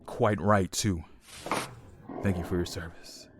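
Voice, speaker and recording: A man's voice: low-pitched, steady tone, slow pace, noisy background. A man playing a cold, treacherous special instructor in a sci-fi horror audio drama, clipped, commanding and matter-of-fact. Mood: coldly matter-of-fact. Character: cold, treacherous male special instructor, briskly apologetic killer.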